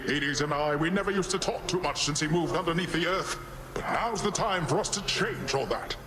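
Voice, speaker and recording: A man's voice: on the high side, fairly quick, natural voice. A man playing a jovial, boastful sea god uncle in a video game, with grandiose, playful and theatrical delivery that is goofy yet haughty. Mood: jovial, musing. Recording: loud game music behind the voice.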